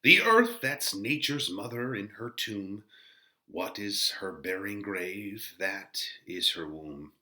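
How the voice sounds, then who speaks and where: A man playing a contemplative friar in a dramatic Shakespearean verse reading, slow, emphatic and declamatory. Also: on the low side, lively intonation, pushed and bright, full character voice. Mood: declamatory, solemn. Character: contemplative friar musing alone on plants, virtue and vice.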